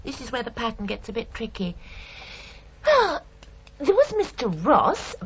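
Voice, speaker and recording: A woman's voice: a little high, wildly swooping pitch, a little slow, fairly bright tone, exaggerated voice. A woman playing a fussy, house-proud murderous landlady in a radio crime drama, with a British accent and a prim, chillingly matter-of-fact, indignant manner. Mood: musing, fussy. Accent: British English. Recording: dull radio recording with faint hiss.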